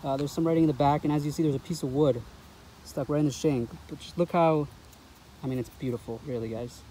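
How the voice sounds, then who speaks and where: A man's voice: on the high side, fairly even intonation, fast, mellow, poor audio. A man giving informal handheld vlog commentary on his metal detecting, talking to his viewers as guys, recorded on a phone outdoors. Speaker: metal detecting video host. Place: mostly outdoors in grass and along a river. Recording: clear background noise, outdoor ambience.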